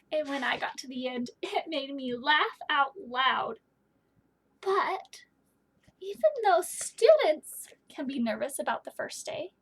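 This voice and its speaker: A woman teacher reading a story aloud to children, pausing to put questions to her listeners. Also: extremely high pitch, slight background noise.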